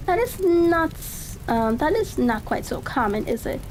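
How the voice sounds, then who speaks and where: Woman reading as a high-pitched, inquisitive Victorian detective man. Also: very animated, measured pace, slight background noise, poor audio. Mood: intent. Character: brisk, keenly observant male Victorian detective.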